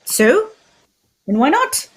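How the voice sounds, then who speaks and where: A woman playing a fussy, courtly Belgian male detective in a radio mystery, speaking formally and deliberately, with theatrical precision. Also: extremely high pitch, big pitch swings, full character voice. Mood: probing, stern. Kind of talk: old-time radio mystery script reading.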